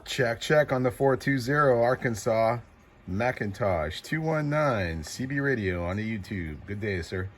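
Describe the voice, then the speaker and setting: A man's voice: mid-range voice, expressive, measured pace, slight background noise. A man working as a CB radio operator and channel host, talking informally in short calls and acknowledgements, repeating call signs. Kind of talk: casual CB radio contact calls.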